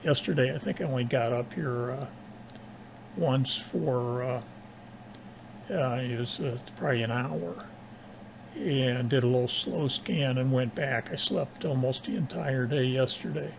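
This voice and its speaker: Tired, gravelly-voiced man on ham radio. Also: mid-range voice, a little hiss or hum, poor audio. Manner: halting, pausing often mid-sentence. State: tired, strained voice after nearly losing it. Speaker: amateur radio operator. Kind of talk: casual ham radio conversation.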